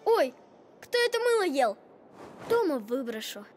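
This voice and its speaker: A woman's voice: sits high, big pitch swings, a little slow, soft background music. A woman playing a cheerful, friendly young boy in a cartoon, with a childlike manner. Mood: puzzled. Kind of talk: animated series character acting.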